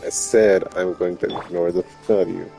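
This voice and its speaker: A man's voice: deep voice, steady tone, moderate pace, creaky, dark tone, soft background music. A man giving casual gameplay commentary as a streamer, muttering dry, sarcastic asides. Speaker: streamer playing a video game.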